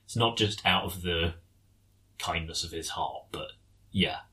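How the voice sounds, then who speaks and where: An English man with a very low voice discusses a film he has watched. He talks in a thoughtful, hesitant way, pausing and restarting as he gathers his thoughts. His tone has a bright, forward quality. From England. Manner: thoughtful, hesitant, trails off mid-sentence.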